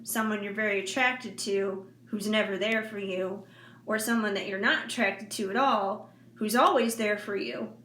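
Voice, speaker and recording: A forty-year-old woman with a bright, fairly high voice speaks candidly to her viewers, rambling along with plenty of fillers. The sound is roomy and echoey, with a faint hiss underneath. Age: 40s. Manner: candid, rambling, frequent fillers and soft laughs. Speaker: intuitive reader and vlogger. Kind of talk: casual heartfelt monologue to viewers. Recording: echoey room with faint background noise.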